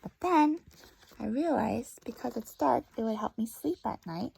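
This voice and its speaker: A woman reading a story aloud to young children as a teacher, often dropping into hushed, breathy asides. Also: on the high side, lively intonation, unhurried, low-quality recording. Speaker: first grade teacher reading a picture book aloud.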